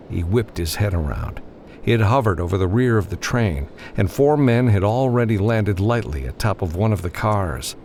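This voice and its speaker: A man narrates fiction in a dramatic audiobook style. His voice is low and moves expressively up and down, with a faint vehicle-like ambience behind it. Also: background noise.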